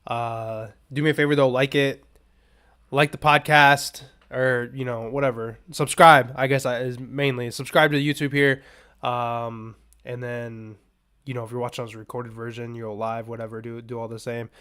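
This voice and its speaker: Casual male podcast host, slightly raspy voice. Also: mid-range voice, little pitch movement, normal speed, fairly bright tone. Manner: rambling, loose, filler-heavy asides. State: under the weather, coughing. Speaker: sports betting podcast host. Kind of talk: casual unscripted betting picks talk.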